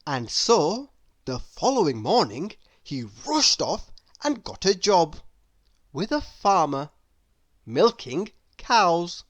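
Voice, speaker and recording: A woman reading a children's story aloud in an expressive narrating style. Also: lowish voice, slow. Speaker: storybook reader.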